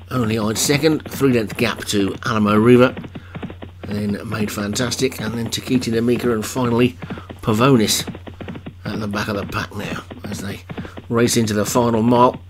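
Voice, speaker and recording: Male race caller, a little croaky, chatty between calls; low-ish voice, background noise. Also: fairly even intonation, moderate pace. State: voice getting croaky. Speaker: race commentator for an online game league. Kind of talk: live horse race commentary.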